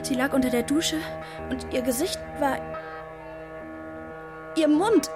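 An actress playing a frightened teenage girl giving halting testimony in a radio play. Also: high voice, over loud music. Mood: shaken.

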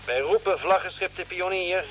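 A formal mission control operator, calls out in clipped, official phrases through a radio transmission. The voice is high, with faint noise from an old radio drama recording. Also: male voice, moderate pace, a bit bright. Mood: formal, calling.

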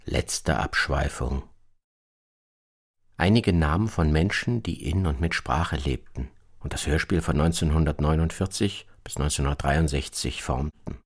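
A man reading scripted radio documentary narration in a measured, matter-of-fact manner. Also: extremely low pitch. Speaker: narrator, presenter.

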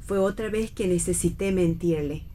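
A woman's voice: lowish voice, normal speed, dark tone, lightly acted. An actress playing a polite, naive middle-aged mother, in an earnest, formal manner for radio theatre. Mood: resigned, earnest.

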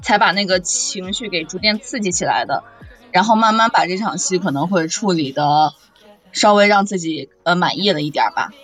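A woman's voice: fairly low pitch, a little fast, faint music behind. A woman voice actor talking casually and expressively in a panel chat about audio drama. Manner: animated, expressive. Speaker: voice actor discussing her audio drama role.

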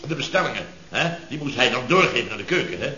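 An actor playing a pompous, exasperated, self-important boss of a building firm in an old radio comedy. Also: male voice, mid-range voice, lively intonation, fast, sharp tone, slight background noise. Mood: indignant, blustering. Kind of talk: 1970s Dutch radio comedy acting. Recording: muffled, echoey old radio recording with audience.

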